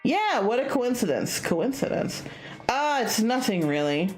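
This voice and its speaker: A woman's voice: a little high, very animated, a little slow, soft background music, pitched above the performer's own voice. A woman voicing an anxious young male student in a visual novel, hesitant, self-conscious and a little sulky. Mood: awkward, hesitant.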